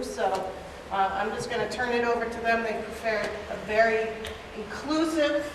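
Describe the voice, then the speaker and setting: A woman teacher introducing her student group at a meeting, relaxed and using fillers. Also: fairly high pitch. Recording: echoey hall from far off, background hiss.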